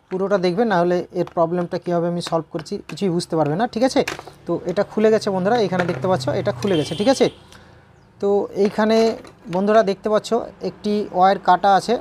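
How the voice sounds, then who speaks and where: A woman's voice: mid-range voice, darkish tone, slight background noise. A woman giving an informal hands-on repair tutorial, repeating points and often checking in with viewers. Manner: repetitive, checking in with viewers with tag questions.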